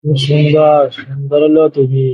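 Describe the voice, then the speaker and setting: A man's voice: on the high side, monotone, moderate pace, slightly rough, no echo. A man chatting casually and intimately on a call, in colloquial, teasing banter. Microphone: phone video call. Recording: dull, lo-fi call recording.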